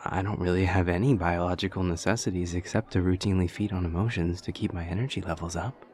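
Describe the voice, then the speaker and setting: A man playing an awkward, earnest, literal-minded demon college student in an audio roleplay, speaking in a stilted, over-precise, deadpan way with hesitant pauses. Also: low-pitched, lightly acted. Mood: matter-of-fact, curious. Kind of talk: intimate audio roleplay acting.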